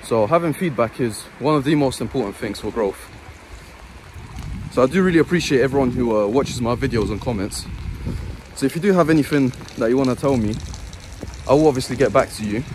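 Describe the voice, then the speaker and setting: A man's voice: mid-range voice, fairly quick, a little mellow, a little hiss or hum. Earnest young man explaining gym training. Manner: earnest, explanatory, repeats phrases. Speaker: fitness vlogger. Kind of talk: gym vlog voice-over narration.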